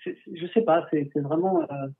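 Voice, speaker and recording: A man's voice: a little high, brisk. A male photographer chatting on a livestream, answering viewer questions with many hesitations and restarts, speaking through a headset. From France. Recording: husky, tinny call audio.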